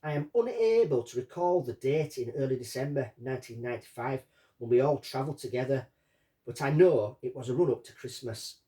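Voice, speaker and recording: A man reading out a witness statement and commenting on it as a true-crime host, in deliberate short phrases. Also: medium pitch, lively intonation, warm tone. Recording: distant from the microphone.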